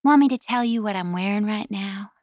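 A seductive Southern woman of the phone lines, acted out by a female audiobook narrator, purrs in a throaty voice. She drawls slowly and flirtatiously, close and dry. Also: medium pitch, darkish tone, no room sound, close to an everyday voice. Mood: seductive, purring. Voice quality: throaty, purring.

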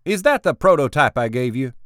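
A man voicing an irritable, wealthy male tech boss who is brash, impatient and quick to swear. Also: mid-range voice, very animated, a bit bright, no room sound, clean studio sound, pitched above the performer's own voice.

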